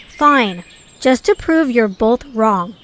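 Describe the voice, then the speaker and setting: Woman playing a high-pitched, snippy young traveller. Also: expressive, very slow, fairly bright tone, slight background noise, full character voice. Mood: irritated. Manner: scornful, curt. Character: snippy, sceptical young female fantasy traveller. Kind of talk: amateur audio drama acting.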